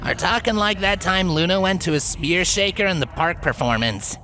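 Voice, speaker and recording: A man's voice: high voice, moderate pace, over music, pitched above the performer's own voice, close to an everyday voice. A man voicing an irreverent, joking pegasus mare ghost with a scratchy voice. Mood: teasing.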